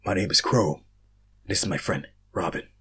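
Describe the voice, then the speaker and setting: Fantasy story royal, done by a male reader: friendly, casual, joking man, lowish and husky. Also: moderate pace, fairly bright tone, exaggerated voice.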